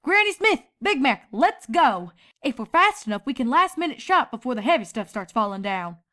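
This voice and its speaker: A woman's voice: sits high, big pitch swings, normal speed, a bit bright, pitched above the performer's own voice. A woman voicing a protective, responsible young farm mare, a folksy and bossy but caring big sister in a story. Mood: brisk, directing.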